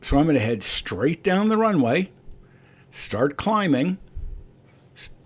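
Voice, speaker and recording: A man's voice: on the high side, sing-song, takes it slowly, slightly rough. A man who is a private pilot, explaining his flight and making radio calls. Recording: cockpit and radio noise.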